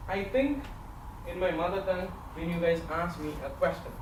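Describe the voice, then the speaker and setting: High-voiced Indian man speaking from afar. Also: moderate pace. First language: not English; English is his third language. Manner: storytelling with comic timing, animated gestures. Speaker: club member giving a farewell speech. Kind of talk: humorous public speech. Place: large office meeting room with windows and a projection screen. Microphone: far camera, no mic. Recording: echoey room from far off, audience noise.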